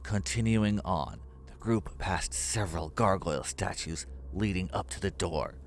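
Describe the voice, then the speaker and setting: A man's voice: low-pitched, unhurried. A man reading scripted narration for a horror story.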